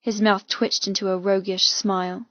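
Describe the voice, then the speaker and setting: Female audiobook narrator, mid-range voice, dramatic romance storytelling, intense expressive phrasing, close dry recording. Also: mid-range voice, normal speed, dry recording, dull audio.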